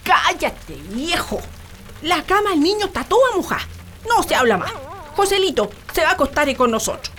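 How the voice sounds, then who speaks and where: A woman's voice: extremely high pitch, sing-song, normal speed, a bit bright, full character voice. An actress playing a bossy, nagging rural wife and doting mother in a comic radio drama, with a Chilean accent. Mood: scolding. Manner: scolding, exaggerated, colloquial.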